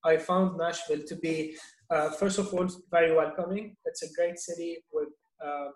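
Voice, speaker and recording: A middle-aged man from Lebanon, a business guest answering interview questions in a measured way, pausing often with fillers. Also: fairly high pitch, steady tone, echoey room, rough recording. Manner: measured, with many fillers and restarts. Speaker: guest, venture investor.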